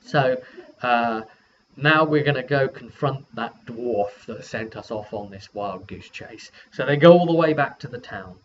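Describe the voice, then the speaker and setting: A man's voice: mid-range voice, a little slow. Man recounting a game session, dull recording. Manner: rambling, acts out quoted dialogue informally. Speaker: game master recapping a tabletop game session. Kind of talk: casual recounting of a role-playing session. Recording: dull lo-fi audio with background noise.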